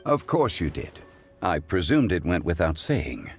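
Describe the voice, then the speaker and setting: Wordy scholar gentleman from a game, low voice, formal, lots of inflection. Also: big pitch swings, a little slow, gravelly, faint music behind, full character voice. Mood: pedantic. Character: dignified, learned gentleman consultant, calm and verbose. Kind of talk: video game voice acting.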